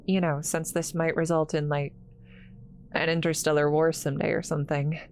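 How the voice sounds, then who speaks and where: Woman playing an awkward, low-voiced female scientist. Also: flat delivery, a little mellow, a little hiss or hum. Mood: hesitant, curious. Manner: halting, stammering, rambling. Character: awkward young female scientist, flustered, kind, apologetic. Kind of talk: roleplay audio drama, speaking to the listener.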